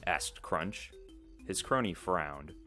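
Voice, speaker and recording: A man's voice: low-pitched, animated, takes it slowly, pushed and bright, soft background music. A man narrating a story in a dramatic storybook style.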